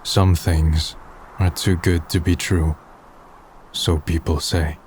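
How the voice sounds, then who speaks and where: A man voicing a weary, cynical young man in a manga dub, with a reflective, low-key and dryly blunt delivery. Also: level tone, takes it slowly, a little mellow, slight background noise. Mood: wistful, reflective. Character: weary, cynical young man narrating his final days. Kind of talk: fan manga dub, first-person narration and dialogue.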